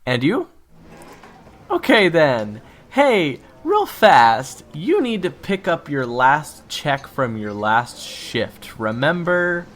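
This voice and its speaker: A man's voice: on the high side, big pitch swings, slow, a bit bright, heavily acted. A man playing a flustered, stammering young waiter in an audio roleplay, who secretly hunts monsters and swings between chummy chatter and sweary irritation. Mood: flustered, falsely cheerful. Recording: clearly noisy background.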